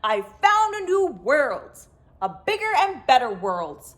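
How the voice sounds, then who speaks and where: Eager young storybook spaceman, played by a woman: excitable, shouting, very high and lively. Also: takes it slowly, full character voice. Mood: triumphant. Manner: shouting, exclamatory. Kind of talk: storybook character voice.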